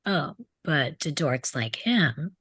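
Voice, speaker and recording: A low, dry-sounding voice for a cynical teenage troublemaker , read by a woman. He taunts with sardonic mockery, in the manner of a drama table read. The sound is close and free of room. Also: expressive, slow pace, fairly bright tone, husky, dry recording, full character voice. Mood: scornful, taunting. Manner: mocking, sardonic.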